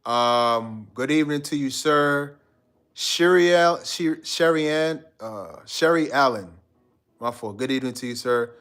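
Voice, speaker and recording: A man's voice: mid-range voice, measured pace, a bit bright, clean tone. A man from Guyana hosting a live stream, chatting loosely with his viewers in a slangy, rambling way and reading out their comments. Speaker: livestream host.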